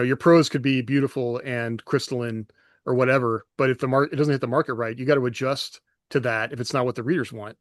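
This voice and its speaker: A man co-hosting a podcast as an author, reasoning things through in a measured, casual way. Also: mid-range voice, dry recording, clean studio sound. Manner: thoughtful, measured, many "you know" fillers.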